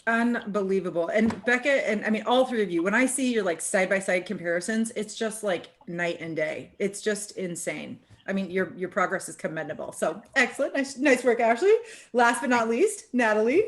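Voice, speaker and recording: A woman's voice: medium pitch, normal speed. A woman hosting a casual group chat, upbeat and encouraging, often ending thoughts with "right?". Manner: upbeat, encouraging, chatty with many "right?" tags. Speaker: host.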